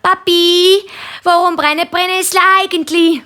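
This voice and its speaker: A woman's voice: extremely high pitch, flat delivery, measured pace, sharp tone, pitched above the performer's own voice, full character voice. A woman voicing cheeky, naive little schoolchildren in jokes, with a Swiss accent and an eager, sing-song way of talking. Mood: curious, insistent.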